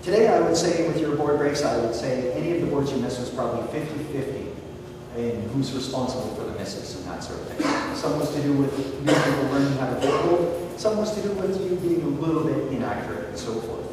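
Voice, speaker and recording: A martial arts instructor with a big, projecting voice gives a student methodical, encouraging feedback at a belt test. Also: male voice, medium pitch, expressive, brisk, heavy background noise. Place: large echoing training hall. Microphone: distant camera mic. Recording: echoey hall recorded from far off.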